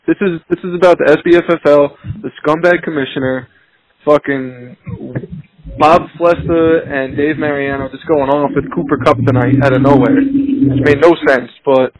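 A man's voice: fairly high pitch, fairly even intonation, normal speed, poor audio. A man chatting as a podcast guest in a blunt, combative, swearing style of friendly ribbing. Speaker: podcast guest, police officer. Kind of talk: profane bantering phone chat.

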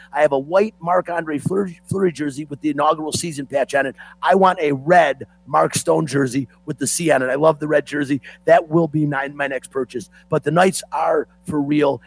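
A hoarse man hosting a sports talk show, opinionated and ranting like a fan. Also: on the high side, no echo, rough recording.

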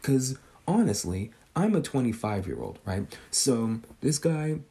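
A man's voice: mid-range voice, sing-song, unhurried, dark tone. A man in his twenties hosting a podcast, musing casually and tagging almost every sentence with "right?". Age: 20s. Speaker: podcast host. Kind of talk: casual speculative monologue.

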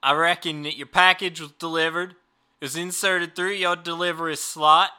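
A man's voice: fairly high pitch, fairly even intonation, moderate pace, sharp tone, clear, resonant tone. A man doing a drawling hillbilly phone clerk. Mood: folksy. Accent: put-on Southern US drawl. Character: dim rural male delivery company phone rep. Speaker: customer service rep.

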